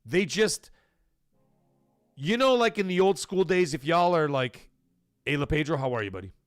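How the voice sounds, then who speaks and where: A man's voice: fairly high pitch, animated, moderate pace, no room sound, clean studio sound, soft background music. A middle-aged man hosting a gaming livestream, talking casually and rambling, swearing freely as he goes.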